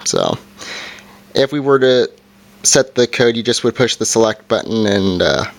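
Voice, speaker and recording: Male reviewer, mid-pitched voice, informal and halting, walking through a gadget's features. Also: big pitch swings, gravelly. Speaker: product reviewer. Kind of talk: casual hands-on review.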